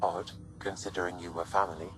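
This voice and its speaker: A calm artificial intelligence, voiced by a man, low-pitched and formal. It sounds curious yet analytical and measured, a game companion over clear background music. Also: lightly acted. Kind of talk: video game cutscene acting.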